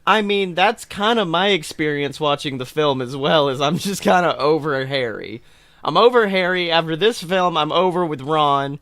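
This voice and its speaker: A man's voice: medium pitch, clean tone, no room sound. A man hosting a podcast, chatting casually and ranting about things in an exasperated, sweary, comic way. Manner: animated, exasperated, sweary complaining. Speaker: podcast host. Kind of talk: casual chat, comic film rant.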